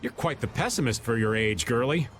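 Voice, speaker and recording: A man's voice: mid-range voice, animated, fairly quick, a bit bright, soft background music, natural voice. A man voicing an easygoing, grumbling older adventurer who teases, in a video game dub. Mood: teasing.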